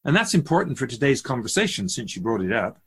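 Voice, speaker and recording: A man playing a serene immortal keeper of souls in a radio drama, speaking in a measured, formal, professorial way. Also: medium pitch, sing-song, husky. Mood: calm, instructive. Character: male-voiced immortal spirit keeper of souls, serene and instructive.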